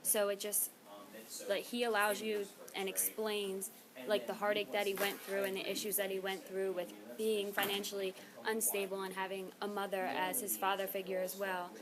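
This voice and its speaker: A teenage girl speaks earnestly in a classroom discussion, her pitch flat and even. Other students' chatter is clearly heard behind her. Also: moderate pace. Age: teens. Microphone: table recorder.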